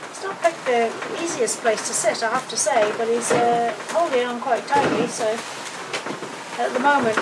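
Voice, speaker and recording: A cheerful middle-aged woman talks to a handheld camera on a boat, her voice sitting a little high. Loud wind and engine noise roar behind her, close to the mic. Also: normal speed. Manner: chatty, upbeat and cheerful. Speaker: sailing vlogger. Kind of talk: casual vlog narration to camera. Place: on a sailing catamaran at sea.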